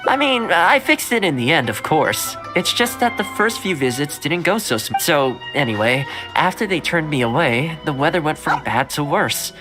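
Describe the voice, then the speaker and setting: An actor playing a kind, chatty young male servant who loves stray animals, speaking gently and conversationally in a video game. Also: on the high side, big pitch swings, normal speed, few pauses, music in the background, poor audio. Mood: reminiscing, wry. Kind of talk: video game voice acting, storytelling dialogue.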